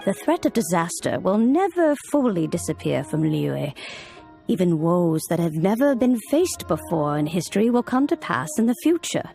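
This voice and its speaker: An actress playing an ageless, wise immortal woman in a video game, telling old tales in a solemn, measured, formal way. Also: fairly low pitch, gravelly, dark tone. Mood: solemn, nostalgic. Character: ageless immortal adeptus woman, wise, stately, nostalgic storyteller. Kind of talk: dramatic video game storytelling.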